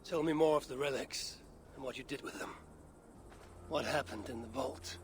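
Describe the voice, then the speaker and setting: An actor playing a terse, imperious Norse god in a video game, solemn and vengeful. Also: male voice, mid-range voice, normal speed, slight background noise, close to an everyday voice. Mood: cool, demanding. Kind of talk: cinematic video game acting.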